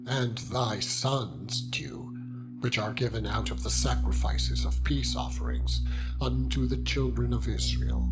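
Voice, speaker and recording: Stern, commanding biblical patriarch acted by a man, music loud behind. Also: mid-range voice, close to an everyday voice. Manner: solemn, declamatory. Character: stern, angry Moses, commanding male biblical leader. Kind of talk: dramatized scripture reading. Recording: loud music bed under the voice.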